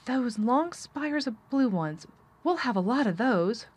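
A woman's voice: fairly high pitch, animated, a little slow, slightly rough, pitched above the performer's own voice. Spoiled storybook boy, lordly as a young prince, declaring everything grandly; female narrator acts him. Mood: eager announcing. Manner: haughty, magnificent, declarative.

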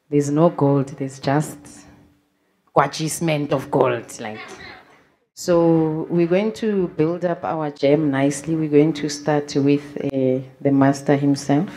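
Young woman hosting a live jam, low mellow voice, easygoing, lots of ums. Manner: relaxed, hesitant, with fillers. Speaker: host of the event. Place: gallery room with audience. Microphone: handheld mic.

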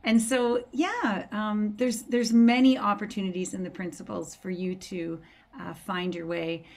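A woman's voice: medium pitch, steady tone, a little slow, vocal fry. A woman hosting a casual online group discussion on a video call, as a course teacher.

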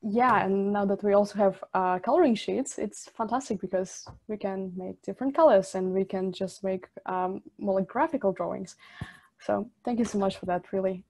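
A woman's voice: medium pitch, normal speed, a little mellow. Young adult woman from Central Asia, host asking questions, friendly and filler-heavy, video call audio. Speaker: interviewer host. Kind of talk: casual video call interview.

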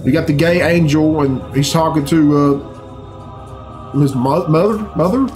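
Higher-pitched man grumbling through reaction commentary. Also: unhurried, darkish tone, rough recording. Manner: blunt, grumbling, puzzled, swears freely. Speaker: reaction video host. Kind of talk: casual off-the-cuff reaction commentary. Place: small furnished room at home. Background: game cutscene music and sound.

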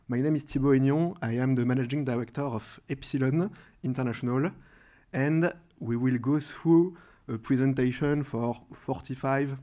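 A man's voice: medium pitch, darkish tone. French-accented man welcoming a conference audience. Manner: hesitant, frequent uh fillers. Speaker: managing director introducing a corporate presentation. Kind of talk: formal event welcome speech. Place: large conference room with a seated audience. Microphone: podium microphone.

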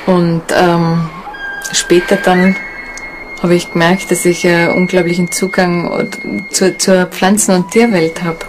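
A woman's voice: on the low side, flat delivery, measured pace. A woman giving a hesitant, reflective spontaneous account in an interview. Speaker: interviewee, nature guide. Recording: clearly noisy ambient background.

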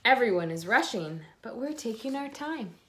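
A middle-aged woman reading a children's picture book aloud in a deliberate storytelling way, recorded on a phone at home. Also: a little high, lively intonation, a bit bright, a little hiss or hum. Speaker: read-aloud host. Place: indoors in a room at home.

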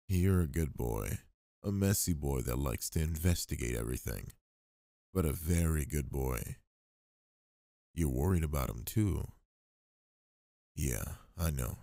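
Guy acting as a doting boyfriend in asmr roleplay, slow and mellow, teases gently, male voice. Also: sits low, vocal fry, dry recording, clean studio sound, full character voice. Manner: intimate, gently teasing, doting. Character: affectionate, teasing, protective boyfriend with a puppy.

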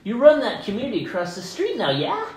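A woman voicing an excitable, overfamiliar male schoolmate in a comedy table read, gushing and bouncy. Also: fairly high pitch, fast talker. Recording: echoey room, off mic.

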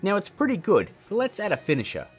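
A man in his thirties presents a casual how-to video with theatrical, joking emphasis. His voice sits a bit high, with lively swings and a husky edge. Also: fast talker, faint music behind. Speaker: tutorial host. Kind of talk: casual, scripted video tutorial.

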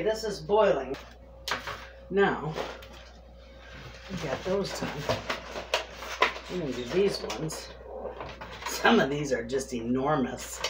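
A woman chatting homily to her viewers as she preps food in her home kitchen. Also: sits low. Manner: chatty, homey, talks straight to viewers. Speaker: homesteading cooking vlogger. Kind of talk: casual kitchen demonstration. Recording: echoey kitchen with clear background noise.

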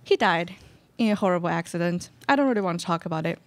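A young woman playing an intense, mournful cat-obsessed bachelorette in a radio play, speaking in drawn-out, overly earnest phrases. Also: lowish voice, expressive. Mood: mournful, wistful. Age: young adult. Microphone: stage microphone on stand.

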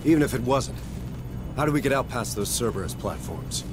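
A blunt, weary military man, acted by a male performer in a sci-fi game. His voice sits in a middle register and he talks like a sergeant under strain. Music is clearly heard underneath. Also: mid-range voice, lively intonation, brisk, close to an everyday voice. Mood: weary, skeptical. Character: weary, blunt marine sergeant suddenly in command. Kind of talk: video game voice acting.

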